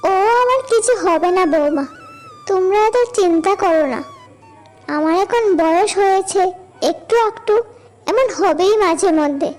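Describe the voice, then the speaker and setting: A woman's voice: very high-pitched, animated, a little slow, a little mellow. A woman voicing a frail, elderly, long-suffering village mother-in-law in a cartoon, weary and plaintive. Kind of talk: cartoon dialogue acting. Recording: music bed behind voice.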